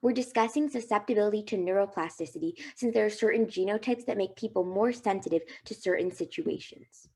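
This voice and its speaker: A woman's voice: mid-range voice, brisk, few pauses, a little mellow, husky. A young woman from Delaware presenting a student research proposal, reading earnestly from a script with small slips.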